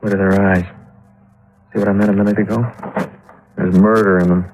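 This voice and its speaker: An actor playing a jittery studio sound engineer who talks in a nervous, apologetic, hurried way in a 1950s radio drama. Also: male voice, on the low side, little pitch movement, noisy background, lightly acted. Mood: uneasy.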